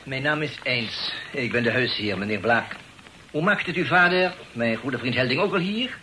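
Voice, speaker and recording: An actor playing a courteous foreign landlord in a radio play, using French loanwords and a formal, inverted word order. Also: male voice, mid-range voice, expressive, moderate pace, close to an everyday voice. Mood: smoothly polite. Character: courteous foreign landlord speaking affected, French-laced Dutch. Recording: noisy old radio drama recording.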